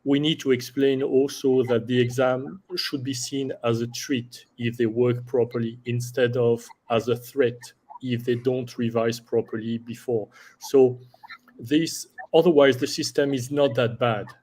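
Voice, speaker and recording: A man's voice: medium pitch, fairly even intonation, unhurried, a little mellow, low-quality recording. A man giving his opinions in an unscripted panel discussion, repeating his points as he goes.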